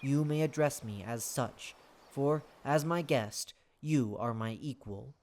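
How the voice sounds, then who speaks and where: A reader acts out a fae lord of the hunt in an audio drama. The delivery is formal and stately, commanding yet courteous, in a middle-pitched voice. Clear noise sits behind it. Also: slow pace, darkish tone. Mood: gracious. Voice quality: deep.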